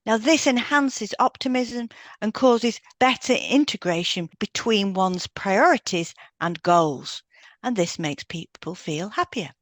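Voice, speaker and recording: A woman's voice: medium pitch, animated, a little slow, no room sound, studio quality. A woman co-hosting a podcast, reading out step-by-step instructions from a script with brief asides. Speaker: podcast co-host, hypnotherapist.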